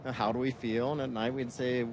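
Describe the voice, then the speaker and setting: A man's voice: medium pitch, flat delivery, moderate pace. Easygoing middle-aged man. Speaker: guest. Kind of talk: casual interview answers. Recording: clear traffic noise.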